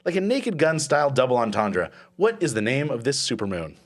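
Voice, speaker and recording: A man from Canada runs a comedy podcast quiz at a quick clip. His tone is brisk and casual, with swearing and frequent laughter between questions. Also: mid-range voice, sing-song. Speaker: podcast host running a quiz.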